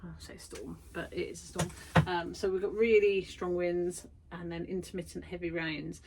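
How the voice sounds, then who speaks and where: A woman's voice: on the low side, level tone, normal speed, noisy background. A woman vlogging from her garden shed studio, chatty and self-deprecating, tired after busy weeks. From United Kingdom. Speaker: vlogger and art workshop tutor. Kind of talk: casual chatty vlog.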